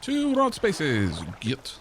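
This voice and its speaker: A man's voice: on the high side, wildly swooping pitch, very slow, a little hiss or hum. Wry man streaming a game. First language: Welsh. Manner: chatty, wry, talks to chat. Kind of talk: casual gameplay commentary.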